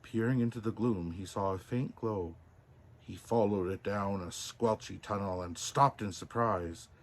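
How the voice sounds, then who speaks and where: A man narrating a children's storybook as Santa, in an expressive, deliberate way. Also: on the low side, a little hiss or hum.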